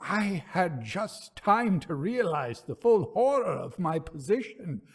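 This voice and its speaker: A man narrating a gothic ghost story in a theatrical, suspenseful, measured manner. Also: high voice, very animated, slightly rough.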